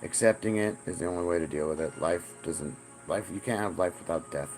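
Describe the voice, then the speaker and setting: A man's voice: low voice, fairly quick, music in the background. A man in his forties talking in a rambling, profane monologue, often repeating himself. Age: 40s. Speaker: self-styled spiritual teacher.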